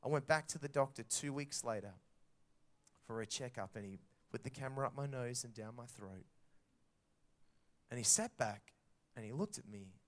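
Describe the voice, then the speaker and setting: A man preaching a sermon to children and parents, telling a story in a lively way and acting out the dialogue. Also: medium pitch, moderate pace, long pauses.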